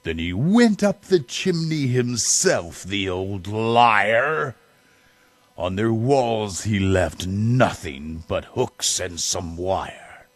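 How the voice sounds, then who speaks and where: A man giving a dramatic children's storybook reading, theatrical, with drawn-out phrases and big swells. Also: lowish voice, animated, no echo. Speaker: storybook reader.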